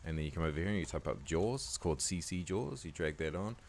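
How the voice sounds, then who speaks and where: A man with a croaky voice giving a laid-back, casual editing tutorial, talking loosely with lots of fillers. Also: low-pitched, animated, fast talker, a little mellow, a little hiss or hum. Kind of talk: casual screen-recording tutorial voice-over.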